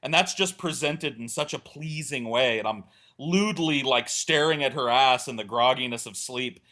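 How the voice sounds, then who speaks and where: A man's voice: mid-range voice, moderate pace. A man telling a crude, rambling comic story on a casual podcast, acting out what was said. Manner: rambling, crude, animated storytelling with acted-out quotes. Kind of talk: casual comedic anecdote.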